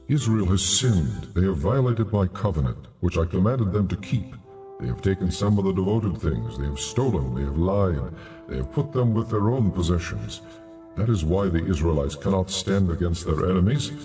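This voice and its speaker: A stern, commanding God for a scripture audio drama. The voice is low and dark, solemn and deliberate, full of authority. It sits at some distance with music underneath. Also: male voice. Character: God, stern commanding deity rebuking his people.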